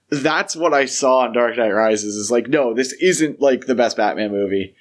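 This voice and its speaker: A man with an average-pitched voice talks as a podcast co-host. His delivery is conversational and informal, full of little verbal fillers as he discusses films. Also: animated, measured pace. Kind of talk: casual film discussion.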